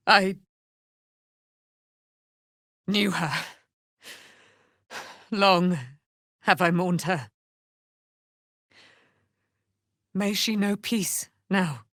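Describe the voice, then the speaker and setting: A woman's voice: mid-range voice, fairly bright tone, no room sound, studio quality. A woman playing a proud immortal warrior, daughter of a moon goddess, in theatrical fantasy game style with grandiose, archaic diction. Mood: tender, mournful.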